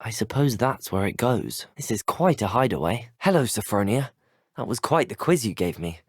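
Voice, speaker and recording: A man's voice: lowish voice, big pitch swings, moderate pace, husky, no room sound. A young British man voicing a polite, inquisitive teenage wizard student in a video game, courteous and earnest. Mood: curious, casual. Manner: courteous, earnest, well-spoken. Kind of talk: scripted video game dialogue lines. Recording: dry, close studio voice recording.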